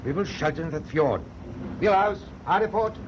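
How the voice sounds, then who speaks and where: An actor playing a stubborn, commanding German tanker captain in a wartime radio play, giving clipped, authoritative orders. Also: male voice, on the high side, animated, moderate pace, natural voice. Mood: firm, resolute. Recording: muffled, noisy old radio drama recording.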